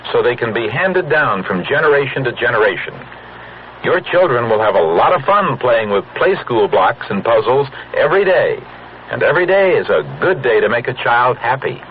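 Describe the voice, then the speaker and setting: Animated vintage radio ad man, muffled sound. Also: medium pitch. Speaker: commercial announcer. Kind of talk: scripted vintage radio advertisement. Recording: muffled old radio recording with hiss.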